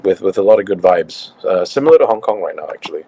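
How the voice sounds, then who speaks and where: Low-voiced man, Singaporean with an English tinge. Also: fast talker, breathy edge, rough recording. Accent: slightly English-tinged Singaporean. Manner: relaxed, with frequent fillers and restarts. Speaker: guest, crypto company co-founder. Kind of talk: casual interview chat.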